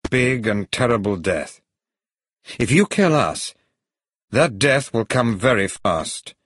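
Man acting a firm, urgent spy hero, pitched up. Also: very animated, slow pace, a bit bright, husky, dry recording, clean studio sound, exaggerated voice. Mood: defiant, urgent.

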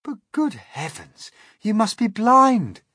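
A man's voice: sits high, big pitch swings, slow pace, sharp tone, slightly rough, no room sound, clean studio sound, pitched above the performer's own voice, exaggerated voice. A man voicing a kind, sensible young boy who reasons patiently and earnestly in a storybook. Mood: apologetic.

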